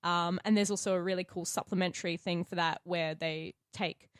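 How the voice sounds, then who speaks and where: A woman's voice: fairly low pitch, steady tone, brisk, smooth, dry recording, clean studio sound. An Australian woman in casual podcast conversation, explaining her opinions as one of the players. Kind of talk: casual chat.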